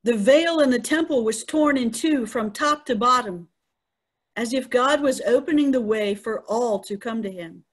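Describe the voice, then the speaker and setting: A woman's voice: fairly high pitch, moderate pace, breathy edge. A woman playing an earnest female eyewitness to the crucifixion in a dramatic reading. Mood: solemn. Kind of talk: dramatic Easter scripture reading. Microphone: video call.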